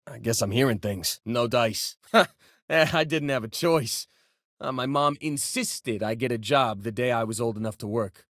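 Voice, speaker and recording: A man's voice: medium pitch, moderate pace, a bit bright, no echo, clean studio sound, natural voice. A male actor playing an easygoing, joking miner and spaceship crewmate in a video game, talking in a casual, folksy way. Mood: wry, uneasy. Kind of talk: video game companion voice lines.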